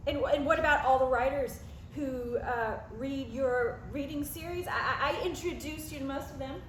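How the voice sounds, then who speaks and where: Actress as high-voiced, prickly anxious girlfriend. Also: moderate pace, lightly acted. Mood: defensive. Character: Helen, anxious, prickly, self-doubting live-in girlfriend. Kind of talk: comic play table read. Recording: echoey, noisy room recording.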